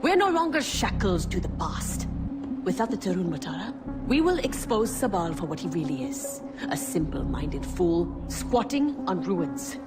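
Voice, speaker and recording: An actress playing a ruthless, determined female rebel leader in a video game, speaking in a commanding, deliberate way. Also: lowish voice, big pitch swings. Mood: cold resolve. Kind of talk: dramatic video game acting. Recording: loud music under the voice.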